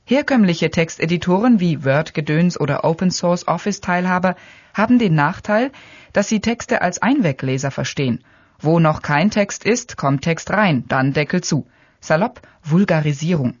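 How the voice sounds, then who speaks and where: A mid-pitched voice reads an experimental essay in a calm, matter-of-fact way. The sound is dry and close, with no room around it. The audio is dull and lo-fi. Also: normal speed, no echo. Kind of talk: experimental radio essay narration.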